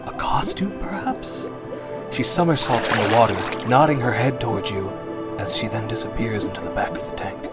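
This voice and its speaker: A man narrating a scripted story in the second person, in an expressive, suspenseful old-time radio drama style. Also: fairly low pitch, normal speed. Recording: narration over prominent background music.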